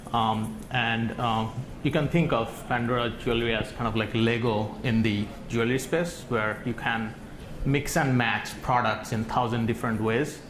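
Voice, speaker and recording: A man introducing himself on a conference panel, hesitant with frequent ums. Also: medium pitch. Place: conference hall stage. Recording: echoey hall, faint noise.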